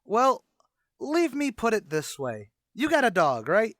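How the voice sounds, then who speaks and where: A man's voice: high voice, wildly swooping pitch, a little slow, fairly bright tone, no echo, clean studio sound, heavily acted. A man playing a slangy, blustery, scheming New tavern manager in an old-time radio comedy, mangling his grammar as he wisecracks. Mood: brisk.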